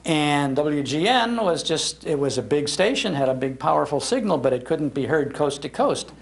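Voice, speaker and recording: American guy giving a history talk, animated storyteller, bit high and gravelly. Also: moderate pace. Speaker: speaker, radio historian. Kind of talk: anecdotal storytelling in a public talk. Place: public library talk.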